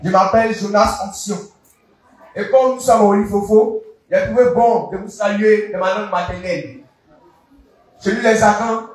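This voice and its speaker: A man preaching a sermon in French, slow and declaiming, repeating the reading after the reader, line by line. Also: sits high. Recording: echoey hall, voice from far off.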